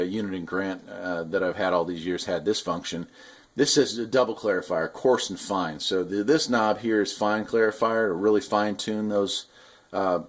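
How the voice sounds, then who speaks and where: A man giving a casual, unscripted product review, explaining things hands-on in a rambling, enthusiastic hobbyist way. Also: lowish voice. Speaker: radio hobbyist reviewer and video host.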